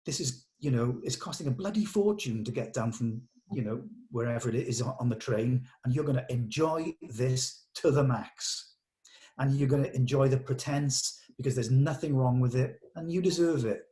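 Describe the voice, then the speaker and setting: A British man with a medium-pitched voice talks like a director to his cast. His delivery is informal, earnest and emphatic, with blunt, colloquial phrasing. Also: normal speed, dark tone. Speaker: director leading a table read. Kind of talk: informal character briefing to actors.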